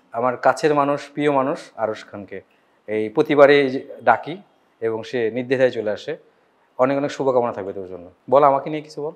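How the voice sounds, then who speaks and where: A man with a Bangladeshi accent hosting a casual celebrity interview in an informal, familiar way. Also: medium pitch. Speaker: talk show host. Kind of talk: casual celebrity interview with rapid-fire questions.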